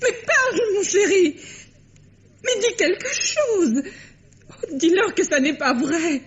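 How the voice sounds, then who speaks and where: A distraught young wife pleads in a very high, trembling voice. Her words come slowly and swing widely in pitch. The sound is dull, as on an old radio broadcast. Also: sing-song, exaggerated voice. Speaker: Missus Rollet. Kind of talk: 1950s radio drama acting.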